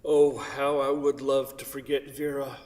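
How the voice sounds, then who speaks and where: A man's voice: mid-range voice, little pitch movement, a little slow, a little mellow, natural voice. A wealthy divorced art patron played by a man, earnest and insistent, with wistful devotion under the effusive praise. It is a staged play reading, heard at a distance in a reverberant hall. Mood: wistful, bitter. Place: theatre stage. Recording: echoey hall, distant microphone.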